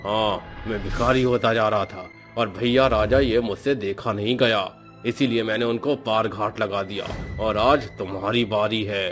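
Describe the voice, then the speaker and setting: A jealous, murderous brother-in-law played by a man taunts in a lowish voice. He sounds sinister and scheming, in the manner of a cartoon horror tale, over clear background music. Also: fairly even intonation, moderate pace, few pauses, fairly bright tone, lightly acted. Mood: scheming, gloating.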